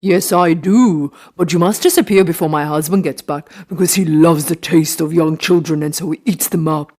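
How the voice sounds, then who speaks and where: A woman's voice: sits low, normal speed, a little mellow, voice pushed down. A woman voicing a giant's wife in a storybook, cautious and kindly, with a motherly warning manner.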